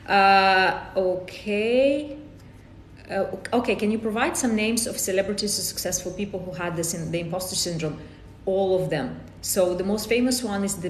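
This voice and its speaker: This is a woman with a Romanian accent hosting a live coaching session, pausing to welcome viewers by name and repeating phrases as she builds her point. Her voice sits at a middle pitch. The recording has a noticeable room echo and a faint low rumble beneath it. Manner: greets viewers by name and reads comments aloud; repeats herself and restarts phrases. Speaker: life coach. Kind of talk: live-streamed coaching workshop.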